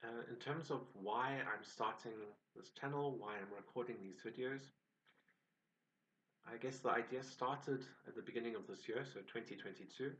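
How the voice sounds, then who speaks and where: A man with a South African accent, introducing himself on his own channel in a measured, reflective way. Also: medium pitch, fairly bright tone, distant mic, low-quality recording. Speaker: channel host. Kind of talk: personal introduction to camera.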